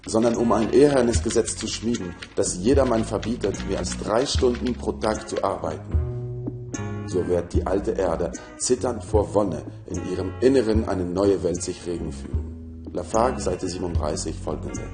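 A man's voice: sits low, moderate pace, over music. A man reading an old political text aloud as a quotation. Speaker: reader of quotations.

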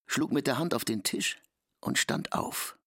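A man's voice: medium pitch, expressive, unhurried, fairly bright tone, no echo, clean studio sound. A man reading scripted literary narration in an audio drama.

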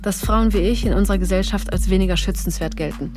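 An actress voices a reflective, defiant woman in an audio drama, thinking aloud in a mid-pitched voice. Music plays clearly beneath her inner monologue. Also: fast talker, natural voice. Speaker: narrating protagonist.

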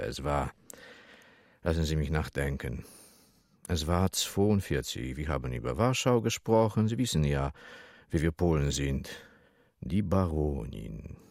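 Wheedling old haggler, slow musing delivery, very lively intonation, dry close sound, dubbed 1950s film. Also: male voice, extremely low pitch, creaky, dry recording, full character voice. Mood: sly, haggling. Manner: evasive, wheedling, drawn-out musing. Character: sly, rambling old Polish junk-shop dealer, evasive haggler. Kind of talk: German-dubbed 1950s film thriller dialogue.

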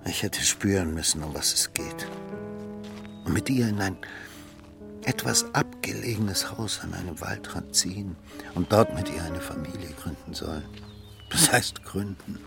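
A melancholy figure full of regret in a literary radio play. His wistful voice sits slightly low, with clear music underneath. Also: measured pace. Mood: regretful. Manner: wistful, self-reproachful. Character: regretful, melancholy man looking back. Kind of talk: literary radio drama monologue.

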